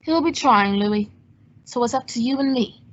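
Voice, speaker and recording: Woman as a cool, high-voiced gentleman sleuth. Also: moderate pace, lightly acted. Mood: wry, friendly. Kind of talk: old-time radio drama acting. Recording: dull, muffled lo-fi audio.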